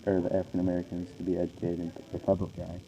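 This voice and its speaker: A man's voice: deep voice, completely flat delivery, very fast, warm tone, highs cut off, a little hiss or hum. A man playing a 1940s baseball star in a radio interview, speaking in a plain, earnest, recited way. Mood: earnest, matter-of-fact. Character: famous 1940s male baseball player being interviewed. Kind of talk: amateur scripted radio interview.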